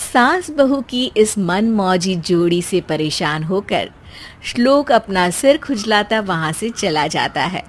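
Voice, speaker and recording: A woman's voice: mid-range voice, very animated, slow. A woman narrating a scripted cartoon family story as a storyteller.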